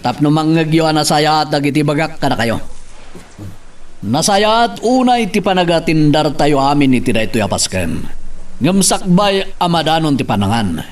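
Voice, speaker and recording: A distant, higher-voiced actor as an earnest leader of farmers, rallying comrades. His declamatory radio drama delivery rises and falls freely. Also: animated, moderate pace, lightly acted. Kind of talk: radio-style Ilocano drama acting.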